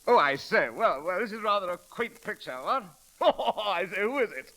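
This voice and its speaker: A courteous, very correct English manservant in a vintage radio comedy. His voice sits a little high, and his English speech is formal and slightly halting. The old recording crackles. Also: lively intonation, sharp tone. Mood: genial. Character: proper, polite English manservant mistaken for a colonel.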